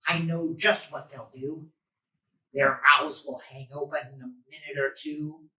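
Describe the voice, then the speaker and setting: A man acts out a scheming, grumpy storybook creature who hates holidays. He speaks very slowly and draws out his words. The sound is echoey and distant from the microphone. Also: on the high side, steady tone, sharp tone. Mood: gloating. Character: sly gloating Grinch, grumpy scheming holiday-hating creature. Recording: roomy echo, distant microphone.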